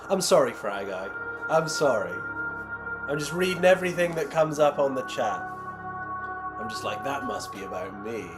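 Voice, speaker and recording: A man's voice: medium pitch, expressive, normal speed, long pauses, music in the background. A man on a live stream, chatting casually and reading chat aloud, rambling and self-deprecating, while losing his voice. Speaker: streamer building miniatures.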